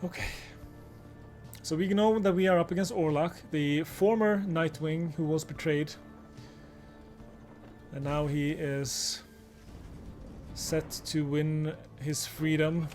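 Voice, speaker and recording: A man's voice: fairly high pitch, slow, long pauses, a little mellow. A man chatting casually as a live game streamer, with game music behind the voice. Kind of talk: casual stream chat mixed with reading game dialogue aloud. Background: video game music and game narration.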